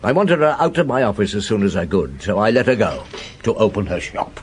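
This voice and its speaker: An actor playing a shrewd, measured police chief inspector in a radio drama, recounting his case and questioning people. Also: fairly low pitch, animated, a little hiss or hum, close to an everyday voice. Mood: matter-of-fact. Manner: measured, probing, hesitant hums and questions. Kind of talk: radio drama acting, narrating and interrogating.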